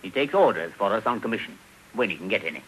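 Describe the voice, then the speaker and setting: An actor playing a blunt, matter-of-fact English warehouse manager in a radio drama. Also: male voice, fairly low pitch, animated, fast, a bit bright, slightly rough, poor audio. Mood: brisk. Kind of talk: 1950s radio drama acting.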